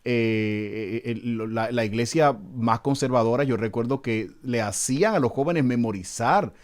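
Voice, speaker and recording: A man's voice: mid-range voice, normal speed. A man, a pastor hosting an interview, asking questions courteously and often restarting and repeating words. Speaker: pastor and host.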